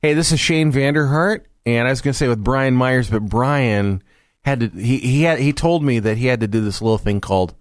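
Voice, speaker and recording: A man's voice: mid-range voice, brisk, no echo. A man hosting a radio talk show from a studio, earnest and rambling with restarts.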